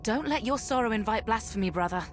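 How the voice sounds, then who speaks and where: An actress playing a pompous, solemn eldest daughter, an immortal guardian of justice in a video game. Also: female voice, fairly high pitch, lively intonation, quick pace, soft background music, lightly acted. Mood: stern. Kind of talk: video game character acting.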